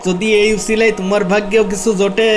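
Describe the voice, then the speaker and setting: A man's voice: sits high, little pitch movement, quick pace, soft background music, lightly acted. A man playing a boastful, mocking fisherman in a cartoon, full of gloating exclamations. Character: boastful, gloating, lucky idol-worshipping fisherman. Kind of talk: cartoon dialogue.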